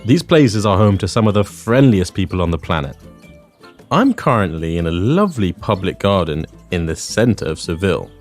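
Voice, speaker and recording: A man with a London accent, hosting a Spanish lesson and speaking clearly, at a teaching pace. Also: deep voice, animated, soft background music. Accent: London English. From England. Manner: friendly, clear, teaching pace with repeat-after-me pauses. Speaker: language course host. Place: outdoors in a public garden.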